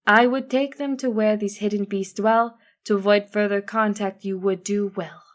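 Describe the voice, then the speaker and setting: A woman voicing a wise, cryptic female zebra healer in measured rhyming couplets. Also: medium pitch, steady tone, close to an everyday voice. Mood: solemn.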